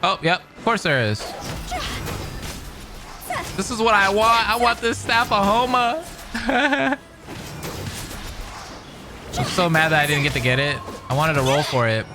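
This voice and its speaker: A young man commenting casually and excitedly while playing a game. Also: high-pitched, a little slow, long pauses. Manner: excitable, chatty, says dude. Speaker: gaming streamer. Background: game music.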